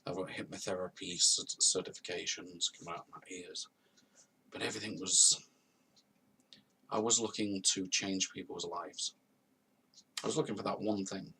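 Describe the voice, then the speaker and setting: Earnest man in his thirties, gravelly. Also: sits low, steady tone, moderate pace, long pauses, pushed and bright, distant mic, a little hiss or hum, poor audio. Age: 30s. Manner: earnest, confessional, repeats phrases for emphasis. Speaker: vlogger telling his own story. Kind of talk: personal testimony to camera. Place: at home, on a video call setup.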